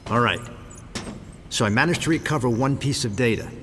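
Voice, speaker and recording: An actor playing an earnest military tech specialist in a video game, reporting matter-of-factly. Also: male voice, medium pitch, animated, fairly quick, background noise, natural voice. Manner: matter-of-fact.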